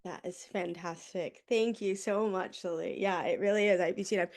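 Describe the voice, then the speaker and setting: A woman's voice: fairly low pitch, fairly even intonation, moderate pace, a little mellow. A woman moderating an online panel, upbeat and encouraging as she hands out questions. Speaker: webinar moderator.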